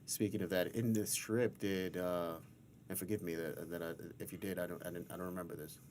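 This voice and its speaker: A man's voice: low voice, fairly even intonation, brisk, darkish tone, slight background noise. A man hosting a casual interview, informal and affirming, asking rambling open questions. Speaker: interviewer, podcast host.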